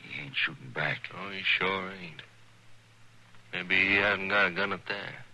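An actor playing a grim armed Western outlaw in a 1950s radio drama, speaking tersely in folksy rural talk. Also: male voice, low-pitched, measured pace, bright, forceful tone, heavily acted. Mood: tense, wary. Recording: noisy old radio drama recording.

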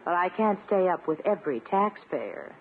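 An actress playing a prim but teasing school principal in a 1950s radio sitcom, firm and coy. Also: female voice, lowish voice, lively intonation, moderate pace, close to an everyday voice. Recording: muffled, narrow-band 1950s radio recording, hiss.

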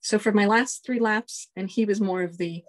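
A woman in her forties whose first language is Spanish, a colonel telling stories in a casual interview, relaxed and chuckling often. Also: mid-range voice, normal speed. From United States. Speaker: guest, Air Force colonel.

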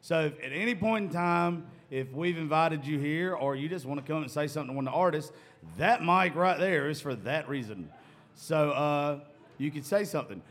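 A man's voice: a little high, animated, normal speed, a bit bright. A man hosting a podcast recorded live at a music venue, loose, joking and profane. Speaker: podcast host. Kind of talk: casual live podcast chat.

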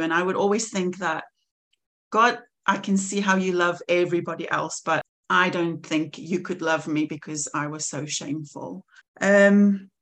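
South african woman, 40s, earnest, lowish voice, easy laughs. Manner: earnest and reflective, laughs easily. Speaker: podcast guest. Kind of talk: personal testimony in conversation.

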